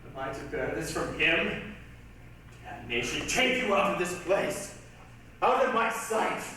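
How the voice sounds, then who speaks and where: Heard from afar in a ringing hall with faint noise, a man plays a proud, suspicious king in a stage tragedy. His high voice is imperious and declamatory, with a hot temper. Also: big pitch swings, measured pace, pushed and bright, distant mic, full character voice. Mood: angry, scornful. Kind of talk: readers' theatre tragedy acting.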